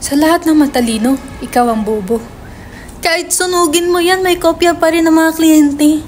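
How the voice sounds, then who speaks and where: A woman's voice: extremely high pitch, normal speed, darkish tone, slight background noise. A woman playing a brash, foul-mouthed young male writer in a dramatic script reading, slangy and insistent. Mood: scornful.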